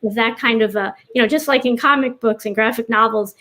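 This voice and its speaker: A woman with a somewhat high voice talks as a writer being interviewed. She is earnest and conversational, rambling a little as she thinks out loud. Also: fairly quick. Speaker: writer, podcast guest. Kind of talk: casual interview chat.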